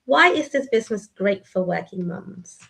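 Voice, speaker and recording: A woman's voice: medium pitch, animated, normal speed, creaky, fairly bright tone, husky. A woman in her thirties hosting a live online session, welcoming viewers and introducing guests.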